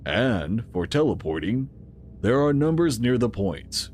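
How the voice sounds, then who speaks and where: An actor playing the calmly authoritative founder of a sci-fi organization in an audio drama, speaking instructively. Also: male voice, mid-range voice, a little slow, slight background noise. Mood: instructive, assured.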